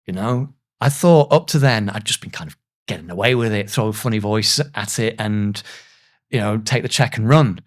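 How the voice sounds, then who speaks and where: A man speaking reflectively as an interview guest, earnest and self-deprecating, with halting pauses as he gathers his thoughts. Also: lowish voice. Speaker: voice actor, podcast guest.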